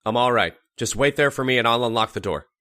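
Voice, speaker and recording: A man playing a composed, scheming doctor in an old-time radio mystery, speaking in a measured, coaxing way. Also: medium pitch, pushed and bright, no echo, clean studio sound, lightly acted. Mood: urgent.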